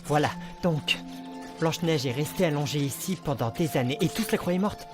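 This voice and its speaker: Loud music surrounds a man acting as an excitable, romantic fantasy suitor in an amateur dub. His voice sits fairly high, and he tells his tale tenderly and theatrically. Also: normal speed, close to an everyday voice.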